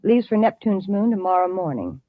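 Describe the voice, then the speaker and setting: An actress playing a cool, calculating and ambitious villainess in an old-time radio science fiction drama. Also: female voice, medium pitch, big pitch swings, moderate pace, dry recording, close to an everyday voice. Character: scheming, ambitious villainess plotting against rival space lines. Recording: muffled vintage radio recording.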